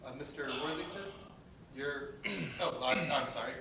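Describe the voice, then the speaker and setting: A man playing a nervous, apologetic office worker in a play reading, halting and deferential. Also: mid-range voice, natural voice. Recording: very echoey, distant, loud noise.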